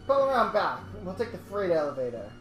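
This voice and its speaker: A woman with a low, lively voice reads comic parts plainly in a casual table read and stops to explain lines. The sound is roomy, with faint music underneath. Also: fast. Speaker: podcast co-host reading comic lines.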